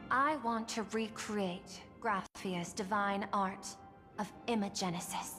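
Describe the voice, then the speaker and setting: Actress as calculating female android administrator, measured formal diction, medium pitch, long reverb, game dialogue over music. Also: close to an everyday voice. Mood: reflective, measured. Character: composed, calculating female android executive and art-seeking administrator. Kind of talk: video game voice acting.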